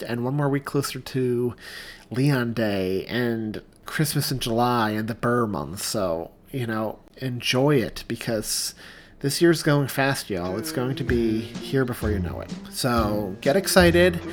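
A man in his thirties hosting a podcast, giving upbeat announcements in an enthusiastic, gushing, folksy way. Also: mid-range voice. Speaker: podcast host.

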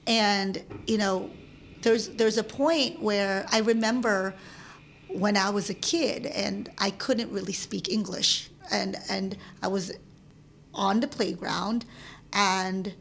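A woman's voice: mid-range voice, fairly even intonation, takes it slowly, creaky, a little hiss or hum. A Filipino-born woman in her thirties, a guest in an interview, talking casually with frequent laughs and restarts. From Philippines. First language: Tagalog. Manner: chatty, laughs often, many restarts.

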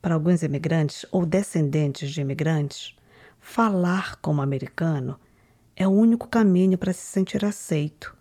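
A woman narrating a documentary in a calm, measured, slightly whispery way and putting questions to her interviewees. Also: on the low side, breathy edge. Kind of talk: reflective scripted narration.

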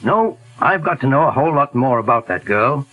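An actor playing a calm, methodical middle-aged detective in a 1940s radio drama, speaking in a measured, polite way over a hissy old broadcast. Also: male voice, medium pitch, phone-quality sound, lightly acted. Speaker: detective lead.

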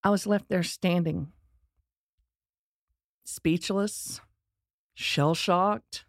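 A woman's voice: lowish voice, fairly even intonation, very slow, no echo, clean studio sound. A middle-aged woman with a Texan accent telling her personal story in a candid, earnest way, with touches of self-deprecating humor. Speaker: speaker sharing her life story.

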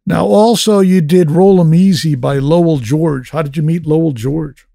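A man's voice: a little high, normal speed, creaky, warm tone, no echo, clean studio sound. A man hosting an interview, asking brief, direct questions about records and songs. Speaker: interview host.

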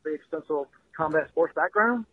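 A man's voice: a little high, expressive, normal speed, breathy edge, no room sound. Newfoundland man on a tinny phone line. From Newfoundland, Canada. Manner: thick accent, friendly and rambling with many fillers. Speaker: caller. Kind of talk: voicemail call-in.

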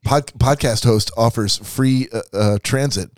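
A man hosting a podcast, chatting casually and running through the show's plugs in a relaxed way. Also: medium pitch, unhurried, no room sound, clean studio sound. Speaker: podcast host.

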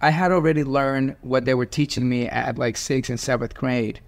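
A man in his forties from Venezuela with a Venezuelan accent and Spanish as his first language, hosting a conversation and telling animated stories with laughs. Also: medium pitch, normal speed. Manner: animated storyteller, laughs while talking. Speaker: host. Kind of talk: casual panel conversation.

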